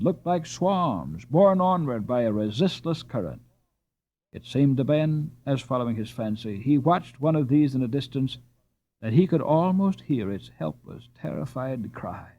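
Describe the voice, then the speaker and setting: A man reading a children's story aloud as an audiobook narrator, with an expressive and deliberate storytelling delivery. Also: mid-range voice. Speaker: audiobook reader.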